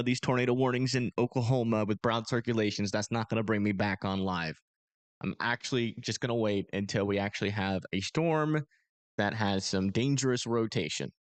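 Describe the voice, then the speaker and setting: A man with a tired, hoarse voice giving loose, rambling radar commentary on a live stream. He has an ordinary mid pitch, and he sits close to the microphone in a dry, dead-sounding space. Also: no room sound. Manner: casual, rambling, self-deprecating. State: hoarse, strained voice. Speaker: storm-chasing livestream host. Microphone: close desk microphone.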